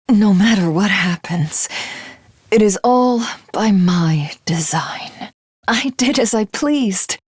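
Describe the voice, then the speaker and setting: An imperious anime game villainess, obsessed god complex, really slow n breathy, super dry sound. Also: female voice, lowish voice, no room sound, full character voice. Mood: weak, wistful. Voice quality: breathy, slipping into whispers. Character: grandiose, battle-crazed self-styled god villainess, melancholy and obsessive. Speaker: video game voice actress. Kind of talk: dramatic anime game character voice lines.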